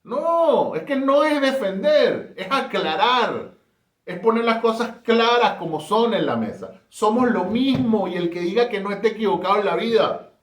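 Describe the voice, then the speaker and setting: A man's voice: sits high, expressive, moderate pace. A man from Caracas with a Venezuelan accent, giving an emphatic, rhetorical talk on history. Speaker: history presenter. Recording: roomy echo.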